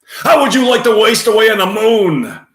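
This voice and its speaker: Excitable, exasperated sitcom loudmouth as a man acts him out, voice soaring high, echoey room. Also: moderate pace. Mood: shouting, exasperated. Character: loud, excitable sitcom New Yorker, comically exasperated. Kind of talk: classic sitcom impression.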